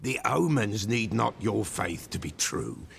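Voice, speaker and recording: A man's voice: on the low side, lively intonation, a little slow, a bit bright, slight background noise, full character voice. An actor playing an old male village soothsayer, cryptic and superstitious, who speaks in archaic, folksy sayings in a video game cutscene. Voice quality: gravelly.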